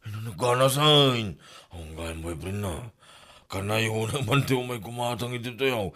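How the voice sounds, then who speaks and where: A man's voice: fairly low pitch, measured pace, fairly bright tone. An actor playing a flirty, evasive married man in an Ilocano comedy drama, with an exclamatory, stammering manner and an Ilocano accent. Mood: flustered, flirty.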